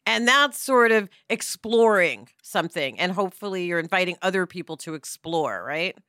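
A woman's voice: on the low side, expressive, moderate pace, pushed and bright, dry recording, clean studio sound. A woman co-hosting a podcast in casual chat, reflective, often ending thoughts with a rhetorical "right?". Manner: reflective, asks rhetorical questions. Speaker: podcast co-host.

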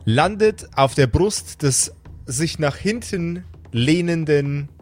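Male game master, fairly high voice, dramatic storyteller with casual asides, music underneath. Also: animated, slow pace. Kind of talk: live improvised tabletop game narration. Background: background music.

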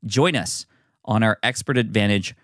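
A man's voice: lowish voice, moderate pace, fairly bright tone, dry recording, studio quality. A man reading a promo in an upbeat way, repeating the key point. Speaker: podcast host reading a promo. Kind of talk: promotional read.

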